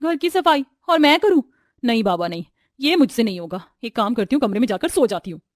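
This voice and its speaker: High-pitched woman playing a young daughter-in-law, lazy, self-pitying, complaining, family storybook tale. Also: big pitch swings, fairly quick, dry recording, clean studio sound. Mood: scheming.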